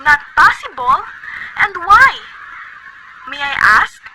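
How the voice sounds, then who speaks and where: A woman's voice: sits high, sing-song, takes it slowly, exaggerated voice. A woman voicing a nervous bedridden invalid, frantic and complaining, near tears. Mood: indignant. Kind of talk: student radio play reading. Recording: loud background noise.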